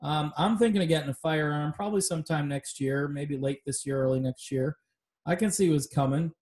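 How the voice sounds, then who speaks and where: A man's voice: on the high side, a little fast, a little mellow. A man hosting a casual political podcast chat, talking loosely and wandering off on tangents. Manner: loose, rambling, tangent-prone. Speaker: podcast host.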